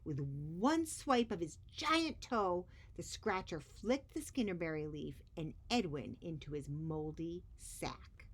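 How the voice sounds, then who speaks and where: A woman reading a picture book aloud to children expressively, with dramatic pauses and emphasis on key words. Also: fairly low pitch, a little hiss or hum. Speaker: children's story reader.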